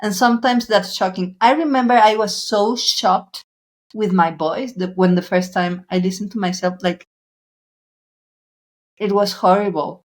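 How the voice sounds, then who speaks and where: A woman hosting a podcast interview, speaking in a measured, soft-spoken way. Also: mid-range voice, fairly even intonation. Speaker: podcast host.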